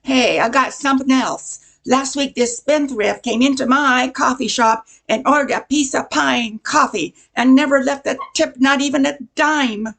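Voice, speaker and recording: Woman as an aggrieved waitress, high and creaky. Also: moderate pace, hardly any pauses, fairly bright tone, exaggerated voice. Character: aggrieved, cheated coffee-shop waitress. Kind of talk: amateur noir comedy radio play.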